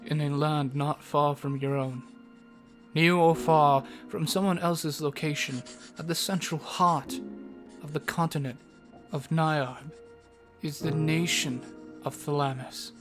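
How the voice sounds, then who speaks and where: A man playing a solemn storyteller, narrating a scripted fantasy prologue with deliberate phrasing. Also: fairly high pitch, steady tone. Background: faint music.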